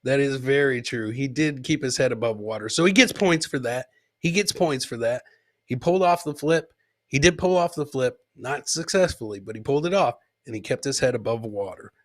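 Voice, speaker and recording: Man, casual comedy host, mid-pitched voice, repetitive riffing, live clip commentary. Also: brisk. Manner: repeats his own lines, reading and answering live comments. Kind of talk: casual commentary on funny fail clips.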